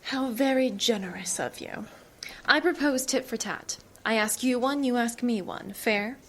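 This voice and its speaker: A woman's voice: fairly high pitch, measured pace. Cool, sardonic actress as a woman agent. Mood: wry, controlled. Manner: dry, controlled, teasing, knowing. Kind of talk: naturalistic audio drama acting.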